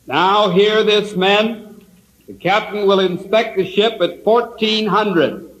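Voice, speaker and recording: A man playing a dutiful, anxious submarine first officer in old-time radio drama, reporting in a crisp, deferential naval manner. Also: sits high, steady tone, takes it slowly, fairly bright tone, low-quality recording. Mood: formal announcing.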